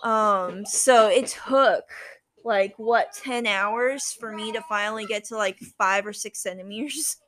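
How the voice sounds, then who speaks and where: A woman's voice: mid-range voice, unhurried, fairly bright tone, dry recording. A young adult woman telling a personal story as a guest, in a chatty, casual way with lots of "like" and quoted dialogue.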